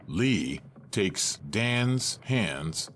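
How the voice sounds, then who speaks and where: A man's voice: lowish voice, very animated, drawn-out words, a bit bright. A man narrating scripted stage directions for an audio drama, with faint noise behind him.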